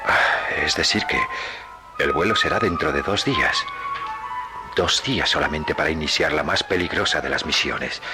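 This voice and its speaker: A man's voice: very deep voice, moderate pace, over loud music. A man playing a tense male astronaut captain in an old radio drama, gasping and choking as he calls for help. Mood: determined. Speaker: voice actor. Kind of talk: 1960s Latin American radio drama acting.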